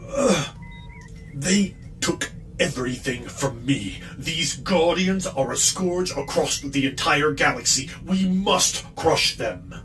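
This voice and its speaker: A man's voice: a little high, slow, fairly bright tone, low-quality recording. A man acting an embittered alien villain leader in an audio drama, intense and commanding. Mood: bitter, vengeful.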